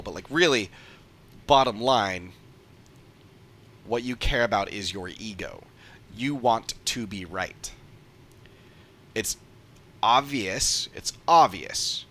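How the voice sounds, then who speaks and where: A man's voice: fairly low pitch, pushed and bright. A man giving casual, off-the-cuff livestream commentary as a game streamer, emphatic and repeating points for stress.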